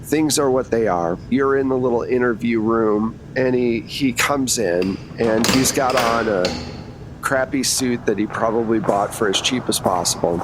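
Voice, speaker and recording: A man with a medium-pitched voice acts as game master. He talks conversationally, moving between hosting and narrating the scene for a tabletop roleplaying game. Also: unhurried, background noise.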